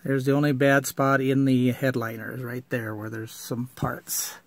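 A man's voice: medium pitch, little pitch movement, moderate pace, no echo. A man filming his old car on a handheld phone, describing it in a plain, matter-of-fact way with many fillers, outdoors and inside the car. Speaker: car owner showing an old car. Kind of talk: casual walkaround video. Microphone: handheld phone camera.

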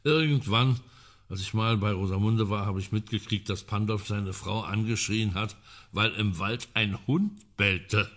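A well-informed amateur detective in a radio crime play. His voice sits a little low. He lays out details drily and precisely, with an ironic edge. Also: measured pace, a bit bright. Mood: dryly informative. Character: well-informed male amateur sleuth, dry and matter-of-fact. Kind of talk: naturalistic radio crime drama acting.

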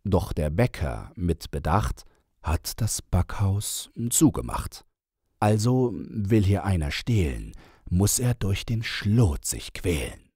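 A man narrating a storybook in verse as an audiobook reader, measured and with dramatic pauses. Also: low-pitched, big pitch swings, mellow, husky, no room sound, studio quality.

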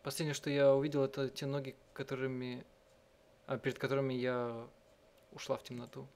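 A man doing let's-play narration, reading and translating text aloud in a halting, self-correcting way. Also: medium pitch, completely flat delivery, darkish tone. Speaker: game streamer and live translator. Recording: game music under the voice. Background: game music.

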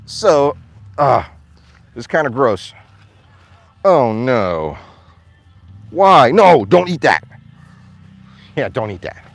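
Animated chatty man with a lilt. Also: mid-range voice, drawn-out words, gravelly, long pauses, dry recording. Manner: chatty, animated, coos at his dogs. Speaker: vlogger. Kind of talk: casual vlog chat. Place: outdoors on a farm. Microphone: phone at arm's length.

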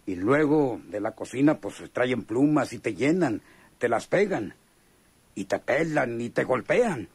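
A slow, husky, chummy Mexican convict. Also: male voice, mid-range voice, lively intonation, no echo, full character voice. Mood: sly, confiding. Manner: slangy, hesitant drawl with knowing chuckles. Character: chummy, sly male convict, a hardened killer. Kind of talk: radio drama acting.

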